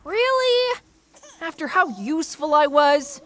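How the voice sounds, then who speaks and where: A woman's voice: sits high, animated, a little slow, fairly bright tone. A woman voicing a small, childlike, whiny floating creature in an audio drama. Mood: whiny pleading. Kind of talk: animated audio drama acting.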